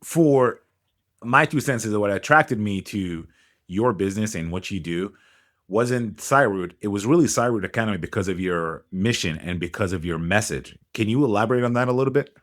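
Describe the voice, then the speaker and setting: A man's voice: on the low side, lively intonation, normal speed. Casual, blunt male podcast host. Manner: casual, blunt, swears freely. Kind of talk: podcast interview.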